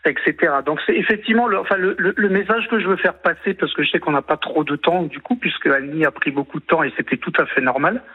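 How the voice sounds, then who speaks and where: A man's voice: a little high, quick pace, few pauses, husky. Fifties male caller from the caribbean, earnest, rambling, heard over a tinny phone line. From Martinique and French Guiana. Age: 50s. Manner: earnest, tender, rambling with restarts. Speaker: radio caller. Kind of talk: phone-in chat.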